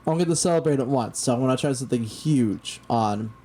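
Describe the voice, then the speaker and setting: A man's voice: medium pitch, fairly quick, dark tone. Rambling man in his late twenties. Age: 20s. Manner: rambling, with many restarts and fillers. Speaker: talk show host. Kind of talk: casual chat.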